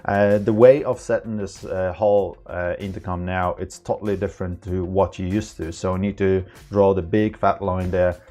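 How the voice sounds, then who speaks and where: A man presenting an informal, unscripted tech tutorial, explaining in a halting way with non-native phrasing. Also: low-pitched, fairly even intonation, dark tone.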